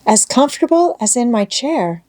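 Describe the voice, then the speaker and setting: A woman reading a storybook as a gentle, polite young girl, frail and earnest. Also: fairly high pitch, sing-song, moderate pace, no echo, pitched above the performer's own voice. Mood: delighted.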